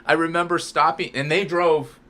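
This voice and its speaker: A man's voice: on the high side, steady tone, normal speed, sharp tone. An American man co-hosting a podcast, chatting casually and telling anecdotes in an informal, loosely phrased way. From Southern California, United States. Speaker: podcast co-host. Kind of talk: casual conversational chat.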